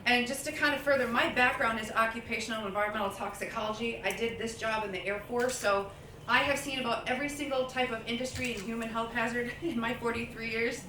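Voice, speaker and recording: A woman in her sixties, a toxicologist on a forum panel, who talks loudly and chattily and mixes her points with self-deprecating jokes. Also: a little high, fairly even intonation, fast. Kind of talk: public forum talk. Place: large public hall. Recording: echoey hall, heard from a distance, audible noise.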